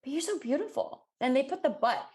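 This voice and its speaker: A woman co-hosting a podcast in casual chat, animated and acting out quoted people with a raised voice. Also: high-pitched, a little fast. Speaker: podcast co-host.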